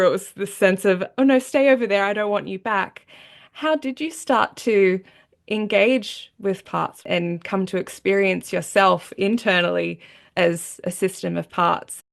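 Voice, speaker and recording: A woman hosting a podcast, speaking in an earnest, musing way and slowing down when she reflects. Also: medium pitch, vocal fry. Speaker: podcast host.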